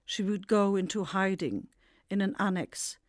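An older woman from the Netherlands, whose first language is Dutch, narrates a scripted memoir. She speaks slowly and reflectively, with measured phrasing and a mid-range pitch. The recording is dry and close, with very little room sound. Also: dry recording.

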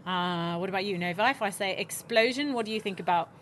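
A woman's voice: mid-range voice, fast, slight background noise. Patient woman questioning children. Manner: patient, encouraging. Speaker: interviewer of children. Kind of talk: gentle questioning.

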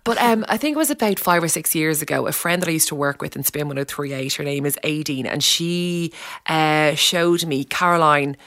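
Irish woman late 30s, kinda low voice, chatty and joking at herself, says like a lot. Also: moderate pace, no room sound. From Ireland. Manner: animated, self-deprecating, uses "like" a lot. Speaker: radio presenter guest. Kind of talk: casual chat interview.

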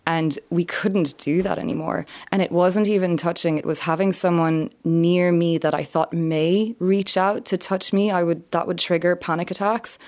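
A young adult woman from France, an author calmly explaining her experiences in an interview. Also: fairly low pitch. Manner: earnest, measured, explanatory. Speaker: author and survivor, interview guest. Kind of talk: reflective interview answers.

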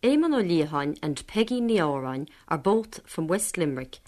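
Woman narrator, lowish voice, bouncy intonation, reading a script. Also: very animated, normal speed, darkish tone. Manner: clear, read-aloud delivery.

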